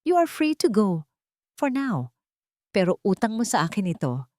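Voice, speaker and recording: A woman voicing a shrewd older male business rival in an audio drama, measured and authoritative. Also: medium pitch, big pitch swings, warm tone, dry recording, studio quality, voice pushed up, close to an everyday voice. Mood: stern, measured.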